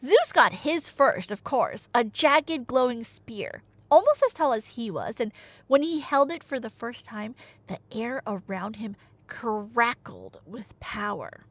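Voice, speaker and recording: A woman's voice: fairly high pitch, very animated, slow, a bit bright, no room sound. A woman telling a myth in a casual, chatty but dramatic way, with wry asides, like an ASMR roleplay storyteller. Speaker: storyteller playing a chatty girlfriend.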